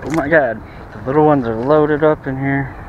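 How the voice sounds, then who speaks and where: A man's voice: medium pitch, normal speed. Muttering man fishing outdoors, muffled cam audio. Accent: American. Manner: offhand, self-mocking, mutters to himself and the fish. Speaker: fishing vlogger. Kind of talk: casual running commentary while fishing. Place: outdoors at a weedy pond bank. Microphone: chest or head-mounted action camera. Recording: muffled action-camera audio with outdoor noise.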